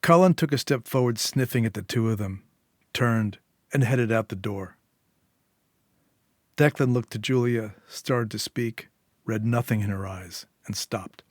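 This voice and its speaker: A man's voice: on the low side, measured pace, darkish tone, no room sound, studio quality. A man narrating a literary short story as its author. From United States.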